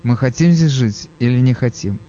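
Brisk male call-in radio host. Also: medium pitch, dark tone, a little hiss or hum. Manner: brisk, cuts callers off firmly. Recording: dull lo-fi radio audio.

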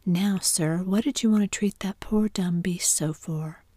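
A woman's voice: on the low side, unhurried, dark tone, no room sound, studio quality. A woman whispering as a stern old aunt. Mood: scolding. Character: stern but soft-hearted old aunt.

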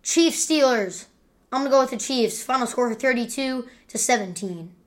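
A woman hosting a casual sports podcast, talking loudly and excitably and teasing her guest. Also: a little high, normal speed. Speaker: podcast host. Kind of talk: casual sports picks chat.

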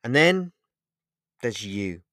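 A man voicing a heartbroken, furious young woman who shouts and nearly screams. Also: medium pitch, very animated, slow, no room sound, studio quality. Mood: frustrated.

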